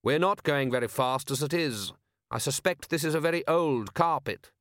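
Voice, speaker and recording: A man voicing a calm, dryly witty aristocratic ruler in an audiobook, measured, formal and deadpan. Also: a little high, no room sound, clean studio sound, pitched above the performer's own voice, natural voice. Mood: unruffled.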